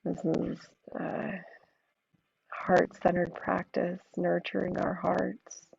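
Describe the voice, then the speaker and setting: A woman with a low, mellow, deeply gravelly voice guides a yin yoga class at an unhurried crawl. She sounds hoarse and a little froggy, her cues soft and drawn out. The recording is dull and lo-fi. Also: monotone, dry recording. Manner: slow, soothing, drawn-out cues. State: hoarse from an allergy attack.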